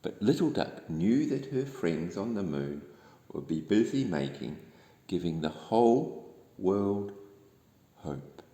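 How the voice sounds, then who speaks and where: A mellow male storyteller reads a bedtime story to young children. He takes his time, and his pitch swings widely and expressively. The room rings with long reverb. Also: slow pace. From New Zealand. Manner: gentle, expressive, addressing young listeners. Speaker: storybook reader.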